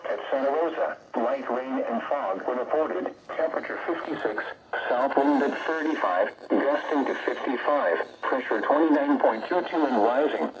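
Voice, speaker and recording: A man's voice: mid-range voice, normal speed. Synthetic male weather radio voice. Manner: steady list-like reading of figures. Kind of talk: automated weather observation readout. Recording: noisy radio reception.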